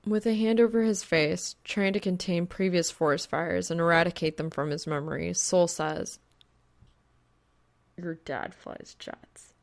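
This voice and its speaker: A woman narrates an audiobook of fan fiction. Her voice sits a little low and has plenty of vocal fry. The reading is expressive and scripted. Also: normal speed, hardly any pauses.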